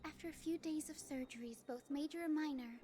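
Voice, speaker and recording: Woman as a high, gentle young healer. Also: a little fast, close to an everyday voice. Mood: earnest, concerned. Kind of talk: anime-style game voice acting.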